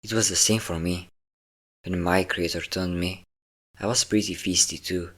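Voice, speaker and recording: A man acts out a centuries-old male vampire with a low-pitched voice, speaking intimately to the one he holds captive. He is possessive yet doting, coaxing with teasing endearments in an audio roleplay. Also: steady tone, moderate pace, fairly bright tone. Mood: coaxing, controlling. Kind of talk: intimate scripted audio roleplay addressed to a listener.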